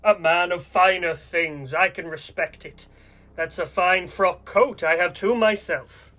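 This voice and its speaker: Man acting gentlemanly villain Santa, radio play, high-pitched, bright hard edge, polite, threatening. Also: a little slow. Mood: genteel menace. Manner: courteous, formal, threatening.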